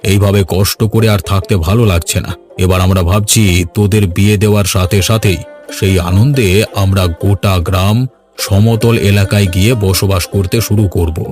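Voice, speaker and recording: Cartoon village father, played by a man: low voice, middle-aged, earnest and persuasive, music underneath. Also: fairly even intonation, normal speed, a little mellow, lightly acted. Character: earnest village father planning his son's wedding. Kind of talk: cartoon voice acting in a family drama. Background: background music.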